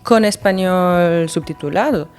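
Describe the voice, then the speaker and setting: A woman with a somewhat low voice explains things with lively encouragement, piling up everyday examples. Faint music sits quietly behind her. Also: a little slow. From Germany. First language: German. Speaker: language teacher. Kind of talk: educational radio chat.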